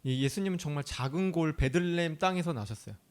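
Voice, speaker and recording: A man preaching a sermon in a chatty, animated way. His voice sits fairly high for a man and swings widely up and down. He keeps asking the audience questions and laughs easily. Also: fast talker. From Chungcheong, South Korea. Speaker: pastor.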